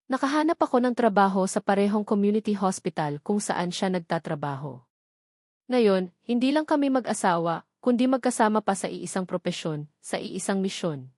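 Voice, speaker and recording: A woman telling a romance story in the first person, in a soft, heartfelt, scripted narration style. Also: mid-range voice, no echo, clean studio sound.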